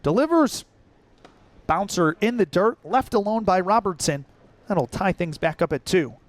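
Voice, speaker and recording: High-voiced American baseball play-by-play man. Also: animated, measured pace. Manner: clipped descriptive phrasing. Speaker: baseball radio play-by-play announcer. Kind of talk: live sports commentary.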